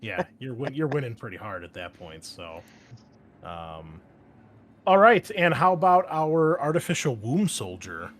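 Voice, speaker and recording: A man hosting a tabletop game podcast as game master, upbeat and jumping louder on key words. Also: medium pitch, big pitch swings, measured pace.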